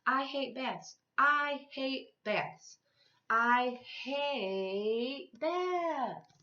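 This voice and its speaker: A woman's voice: sits high, animated, very slow, pushed and bright, voice pushed up, heavily acted. A woman voicing a stubborn little girl in a storybook, whiny and insistent, drawing out her protests. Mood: defiant yelling. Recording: echoey room, slightly dull sound.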